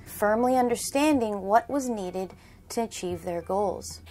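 A young woman narrates a documentary in a fairly high voice. She presents in a measured, explanatory way, with music playing behind her. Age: young adult.

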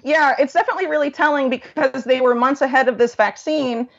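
A woman's voice: sits high, fairly quick, vocal fry, dull audio. A woman being interviewed as a researcher, explaining her findings informally and at length.

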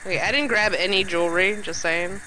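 A man in voice chat with friends, giving quick tips on the game's controls. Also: sits high, sharp tone, slight background noise, rough recording. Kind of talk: casual gaming chat.

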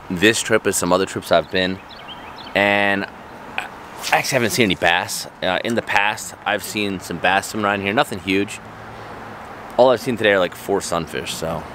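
A man, talking casually to a handheld camera while out fishing by creeks and lakes. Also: fairly low pitch. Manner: relaxed, chatty, upbeat, with fillers. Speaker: fishing vlogger. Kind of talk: casual vlog talk to camera. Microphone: handheld action camera at arm's length. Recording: faint outdoor background noise.

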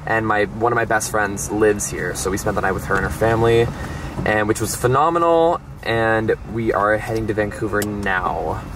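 A man's voice: on the low side, moderate pace, noisy background. A young man chatting eagerly to a handheld camera on a travel vlog, outdoors on city streets and in a car. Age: young adult. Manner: upbeat, enthusiastic, chatty with many fillers. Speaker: vlogger. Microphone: handheld camera at arm's length.

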